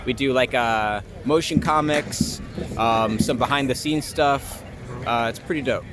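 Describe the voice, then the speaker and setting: A man's voice: medium pitch, measured pace. A young man chatting casually and jokingly in a slangy, informal street interview. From Long Island, New York, United States. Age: young adult. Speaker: interviewee, actor and improviser. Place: outdoors in a city street. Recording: noisy outdoor city street with traffic.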